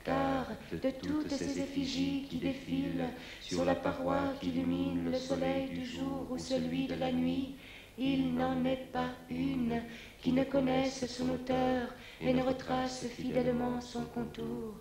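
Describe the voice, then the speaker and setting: An anguished shadow without a master, delivers a solemn accusation in a theatrical film monologue. The voice is high and slow, each phrase declaimed. It rings in an echoey space with loud voices behind it. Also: female voice, flat delivery, vocal fry, a loud crowd, exaggerated voice. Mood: solemn, accusing. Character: accusing double shadow, a formless anguished spirit.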